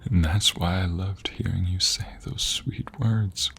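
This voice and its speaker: Man playing a husky, gravelly, doting husband. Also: deep voice, steady tone, measured pace, fairly bright tone, faint music behind, heavily acted. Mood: sincere, sappy. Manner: murmured coaxing with frequent sighs and breathy whispers. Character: affectionate, playfully teasing, sappy husband doting on his wife. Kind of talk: intimate ASMR boyfriend-style roleplay.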